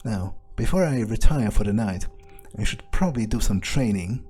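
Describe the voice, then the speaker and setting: A man voicing an arrogant, scheming male vampire in video game roleplay, haughty and deliberate as he thinks aloud. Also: lowish voice, expressive, warm tone, husky. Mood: musing, smug.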